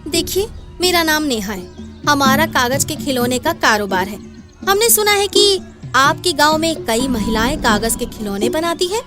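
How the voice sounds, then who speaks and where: A woman plays a courteous businesswoman in a cartoon moral tale. She speaks formally in a high voice. Music plays clearly behind her. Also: animated, moderate pace. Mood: polite, businesslike. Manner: polite, formal. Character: polite city businesswoman announcing a toy contest. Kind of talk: Hindi moral story cartoon dubbing.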